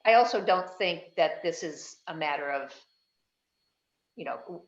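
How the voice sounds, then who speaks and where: A woman with a lowish voice joins the committee meeting over a video call. Her audio sounds echoey and muffled. She talks conversationally, hedges her points and repeats words as she goes. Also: animated, measured pace. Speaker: committee member. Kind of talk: public committee meeting discussion.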